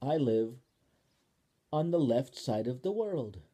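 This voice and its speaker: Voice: mid-range voice, lively intonation, takes it slowly, warm tone, breathy edge. A storyteller voicing Spoon, a lonely young female talking spoon who is delighted to find a friend, in a children's story.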